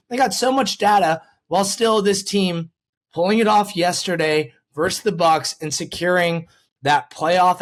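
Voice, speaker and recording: An interviewer hosting a casual sports conversation in a rambling, conversational and enthusiastic way. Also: medium pitch. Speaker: podcast host and interviewer.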